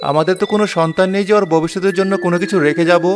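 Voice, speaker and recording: A kind, weary village woodcutter acted by a man for a children's cartoon. He speaks quickly in a high voice, with music clearly behind him. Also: fairly even intonation, lightly acted. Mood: weary, grumbling.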